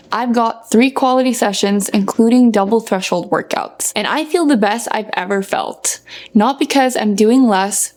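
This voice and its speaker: A woman's voice: mid-range voice, darkish tone. A young woman from Norway gives a scripted motivational talk to viewers as a running coach, earnest and emphatic in her phrasing. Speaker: running coach and content creator.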